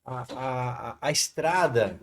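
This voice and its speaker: A man spinning anecdotes as a podcast guest, his voice sitting at an ordinary mid pitch. He talks in animated bursts, peppering every thought with little tag questions. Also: takes it slowly. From Paraná, Brazil. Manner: animated anecdotal telling, frequent "né". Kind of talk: storytelling in casual conversation.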